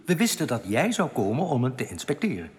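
An actor playing a male alien outcast leader, reassuring, earnest and quietly bitter, explaining things deliberately in a radio drama. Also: medium pitch, big pitch swings, natural voice. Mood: calm, reassuring. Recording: long cavernous reverb.

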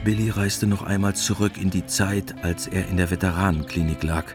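A man narrates a literary radio play in a fairly low voice. His delivery is measured and matter-of-fact, with music clearly underneath. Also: steady tone.

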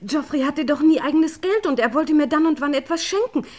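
An actress playing a humble, lovestruck young housemaid in a German radio crime play, deferential, halting and gushing. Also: female voice, high-pitched, close to an everyday voice. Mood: lovestruck, pleading.

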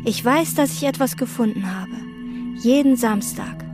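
An actress voicing the quiet, brooding inner monologue of an obsessive young hotel maid in a radio drama. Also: female voice, a little high, moderate pace, natural voice. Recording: narration over loud music. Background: loud music.

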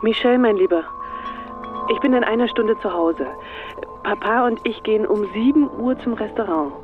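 A woman's voice: on the high side, moderate pace. Muffled, secretive mother on voicemail. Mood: affectionate, conspiratorial. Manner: affectionate, conspiratorial. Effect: voicemail phone filter. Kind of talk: radio play acting. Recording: muffled voicemail, music behind.

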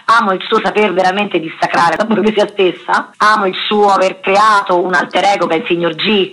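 Woman on a radio interview, slightly low voice, talks at length, articulate and emphatic. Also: fairly bright tone, rough recording. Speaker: interview guest, actress and author.

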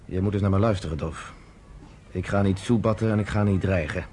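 A man's voice: low voice, brisk, mellow, a little hiss or hum, close to an everyday voice. An actor playing a firm, shrewd, commanding male leader in a radio drama. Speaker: underground organizer.

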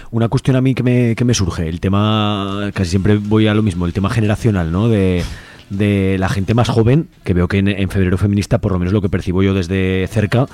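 Man, deepish voice, informal radio hosting, frequent question tags. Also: steady tone, brisk, warm tone. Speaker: radio show host. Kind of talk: casual radio interview.

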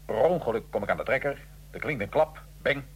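A man playing a condemned Italian revolutionary in a radio drama, recounting his life in a measured, dry, ironic way. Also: mid-range voice, lively intonation, breathy edge. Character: Italian male revolutionary prisoner, condemned, dryly matter-of-fact. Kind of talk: radio drama acting, interview-style storytelling.

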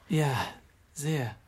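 A husky, guarded man under questioning. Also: on the high side, dark tone. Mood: wary, polite. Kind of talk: radio play acting with narration.

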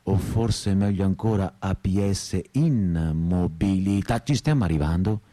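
A man's voice: low voice, unhurried, dark tone. An actor playing a frustrated, self-mocking perpetual student in his thirties in a theatrical, rhetorical radio monologue. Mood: sarcastic complaint, then weary self-mockery. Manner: rhetorical, repetitive, shifting between lofty lyricism and crude bluntness.

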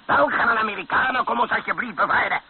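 An actor playing a brutal, domineering rebel colonel in a radio drama, barking commands. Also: male voice, high voice, quick pace, husky, heavily acted. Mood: menacing, commanding. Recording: muffled lo-fi radio recording with hiss.

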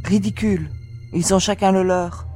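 A woman acts out a young space expedition leader in a science-fiction audio drama. Her voice sits at a middle pitch. She is commanding and sharp-tongued, with anxiety underneath. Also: fairly even intonation, normal speed, soft background music, natural voice. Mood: irritated. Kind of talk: French sci-fi audio drama acting.

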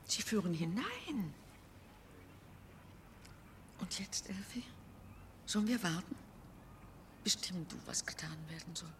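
Prim old lady, slightly low voice, very lilting, deferential, radio play. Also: big pitch swings, slow pace, pauses often, a bit bright, a little hiss or hum, full character voice. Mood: worried, hushed. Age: older. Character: genteel old lady, hesitant sister, deferring yet prim. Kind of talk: German radio drama acting.

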